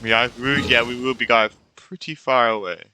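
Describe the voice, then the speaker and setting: A man's voice: mid-range voice, fairly even intonation, normal speed, bright, forceful tone, a little hiss or hum. A man giving casual let's-play commentary, thinking aloud, repeating himself and reading game dialogue out. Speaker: gaming streamer.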